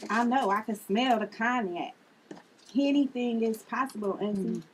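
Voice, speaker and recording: A woman's voice: on the high side, moderate pace, a little hiss or hum, close to an everyday voice, low-quality recording. A woman reading the part of a blunt, sardonic sister with a dry, dismissive manner in a family drama table read.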